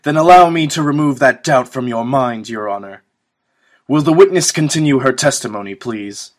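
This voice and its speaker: A man playing a suave, formal prosecutor who is smug but easily exasperated, husky-voiced, in an amateur fan dub of a game. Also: mid-range voice, normal speed, lightly acted. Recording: faint music behind the voice.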